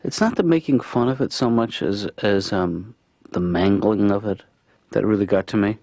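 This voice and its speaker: A man playing a patient middle-aged therapist and father in improvised cartoon comedy, speaking in a hesitant, understated deadpan. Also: fairly low pitch, normal speed, dry recording, natural voice. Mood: dry, reproachful. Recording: dull, lo-fi audio.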